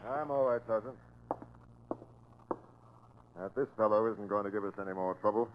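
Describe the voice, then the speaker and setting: An actor playing a resolute police captain giving brisk, authoritative orders in a 1940s radio crime drama. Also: male voice, on the low side, natural voice. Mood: grim. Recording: muffled, noisy 1940s radio recording.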